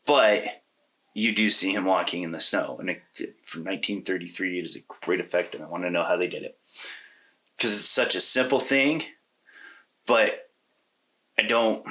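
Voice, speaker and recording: Low, bright-edged man chatting casually. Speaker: podcast co-host. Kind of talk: casual film discussion.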